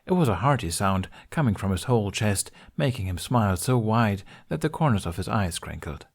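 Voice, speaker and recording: A man's voice: on the low side, expressive, fairly quick, creaky. A man reading a story aloud as a scripted narration. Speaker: fan fiction narrator.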